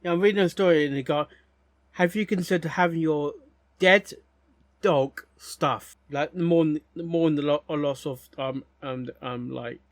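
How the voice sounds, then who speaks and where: A man giving casual, rambling reaction commentary as a host, haltingly, often repeating words and phrases. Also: on the high side, no room sound.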